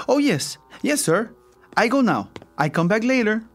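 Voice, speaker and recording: Radio drama manservant: foreign accent, timid but stubborn, husky, swooping delivery, clipped broken English. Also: male voice, sits high, big pitch swings, moderate pace, soft background music, exaggerated voice. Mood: submissive. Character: timid, stubborn male servant of a rich man.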